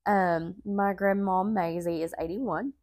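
A woman, co-hosting a podcast, nasal and congested from a sinus infection, chatty and quick to laugh. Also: on the low side, fairly even intonation, a little mellow. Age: 30s. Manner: chatty, laughs often, says y'all. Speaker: podcast co-host. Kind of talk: casual chat and storytelling.

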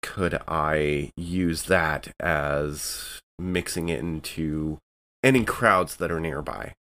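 A man's voice: a bass voice, slow pace, bright, forceful tone. A man talking casually as a player in a tabletop game, thinking aloud as he describes his moves. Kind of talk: casual improvised game talk.

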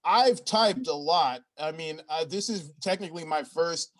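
A man improvising a hapless, rambling stenographer job applicant in a comedy sketch, halting and sheepishly self-justifying. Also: a little high, fairly bright tone. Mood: rambling, earnest.

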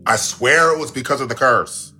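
An actor playing a jittery, paranoid young male flatmate in a radio play, excitable and slangy. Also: medium pitch, normal speed, soft background music. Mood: alarmed.

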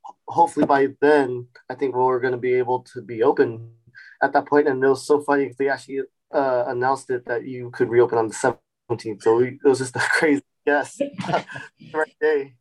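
A man in his forties, a chef and restaurant founder, telling his story in a relaxed podcast interview with many fillers and restarts. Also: mid-range voice, normal speed, rough recording. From Sacramento, California. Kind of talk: casual interview.

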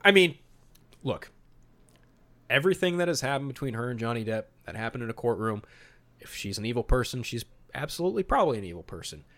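A man's voice: medium pitch, very animated, quick pace, fairly bright tone. A man hosting a podcast, moving between reading out summaries and emphatic, opinionated commentary with some mild swearing. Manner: opinionated, emphatic, mildly profane. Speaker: podcast host. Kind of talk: podcast talk mixing read-out storyboard summary and casual commentary.

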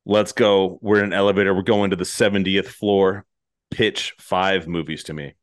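A man's voice: low-pitched, fairly even intonation, normal speed. A man hosting a podcast, chatting casually and narrating scripts. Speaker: podcast host.

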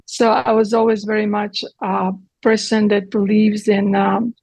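Husky woman, gravelly edge. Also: medium pitch, little pitch movement, unhurried, darkish tone, dry recording, low-quality recording. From Croatia. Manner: direct, explanatory, with occasional grammar slips. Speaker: guest physician. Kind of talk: earnest interview answers.

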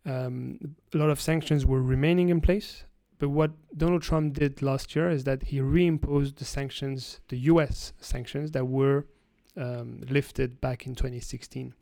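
Gravelly-voiced man explaining. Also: fairly high pitch, darkish tone, no room sound. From France. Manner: explanatory, halting with frequent restarts. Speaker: guest energy analyst. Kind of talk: interview answers.

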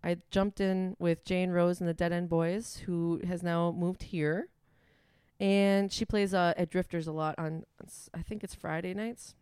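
A young woman with a Wisconsin accent talks in a chatty, easygoing way, with lots of "like" and "um". Her voice sits a little low and sounds smooth and clear. It is recorded close and dry, with no room sound. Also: fairly even intonation, unhurried, darkish tone, no echo. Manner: chatty, frequent "like" and "um", laughs easily. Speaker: musician guest. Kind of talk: casual interview chat.

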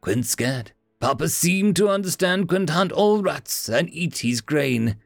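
A man's voice: on the high side, animated, a little slow, gravelly, no room sound, studio quality, soft background music, pitched above the performer's own voice. A man voicing a regal, proud female house cat spirit with an Eastern European accent and broken speech.

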